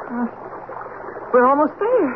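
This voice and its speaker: An actress playing a guarded young married woman in a radio mystery drama, speaking in a hesitant, halting way. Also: high voice, big pitch swings, exaggerated voice. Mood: wistful. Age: young adult. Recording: tinny, very noisy old radio broadcast.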